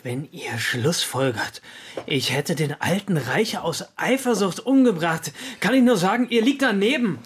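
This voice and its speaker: An actor playing a defiant apprentice musician under suspicion in a period radio drama, defensive and insistent. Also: male voice, high voice, lively intonation, a little slow, slightly rough, heavily acted. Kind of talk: German radio drama acting in period speech.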